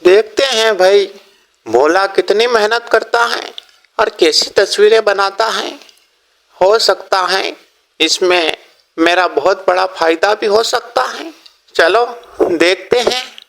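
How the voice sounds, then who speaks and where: Casting: male narrator as shrewd village merchant dad, high-pitched, slow, approving and paternal, for a cartoon fable. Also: gravelly, fairly bright tone, exaggerated voice. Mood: calculating.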